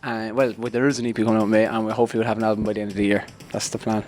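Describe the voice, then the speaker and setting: Fast-talking Irish man, joking. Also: on the low side, flat delivery, a little mellow, slight background noise. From Ireland. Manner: joking, rambling, quick. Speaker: band member. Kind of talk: casual interview chat. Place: backstage room, indoors. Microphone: handheld mic.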